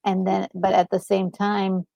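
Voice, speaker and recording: A woman with a gravelly edge talks slowly and haltingly, thinking out loud in casual gaming chat. The sound is close and dry. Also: mid-range voice, monotone, no echo. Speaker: gaming co-host.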